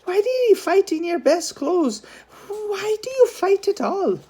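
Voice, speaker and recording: Woman acting out a high-pitched, scolding young sister. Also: sing-song, measured pace, warm tone, exaggerated voice. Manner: emphatic, chiding.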